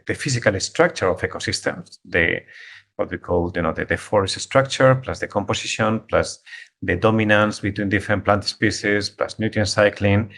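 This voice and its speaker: Spanish-accented man, halting online talk. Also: fairly low pitch, fairly bright tone. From Spain. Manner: halting, repeats words while searching for phrasing. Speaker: guest speaker, conservation biologist. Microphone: video call.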